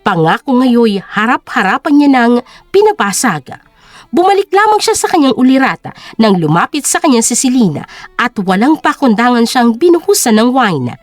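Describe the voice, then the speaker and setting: A woman's voice: a little high, no room sound. A woman with a Filipino accent narrating a scripted story in a dramatic style.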